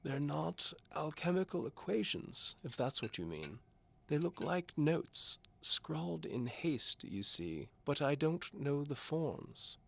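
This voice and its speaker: A man's voice: on the high side, very animated, takes it slowly, gravelly, bright, forceful tone, pitched above the performer's own voice, heavily acted. A man voicing a doddering, pompous old duke and amateur alchemist in an audio drama, fussy and formal. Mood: hesitant. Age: older. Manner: pompous, formal, hesitant blustering. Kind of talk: audio drama character acting.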